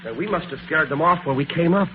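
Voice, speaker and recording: A man's voice: fairly high pitch, quick pace, close to an everyday voice. An actor playing an eager, puzzled, good-natured detective's sidekick in an old radio drama, earnest and boyish in his questioning. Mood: curious. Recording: muffled, hissy old radio recording.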